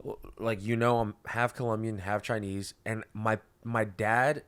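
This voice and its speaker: A man's voice: lowish voice, level tone, measured pace, a bit bright, clear, resonant tone. A young man hosting a podcast, chatting casually with lots of swearing and stumbling restarts. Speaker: podcast host. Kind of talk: casual chat.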